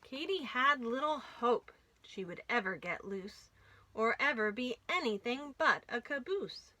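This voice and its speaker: A woman hosting a children's storytime, reading aloud with an expressive, deliberate delivery for young listeners. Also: fairly high pitch, low-quality recording. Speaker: children's librarian and storytime host.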